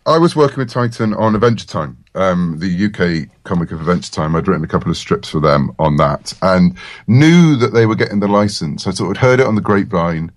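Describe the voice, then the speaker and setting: A man's voice: low-pitched, expressive, a little fast, dry recording, clean studio sound. A man chatting casually as an interview guest, with a wry, self-deprecating manner. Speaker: interview guest, comics and audio writer.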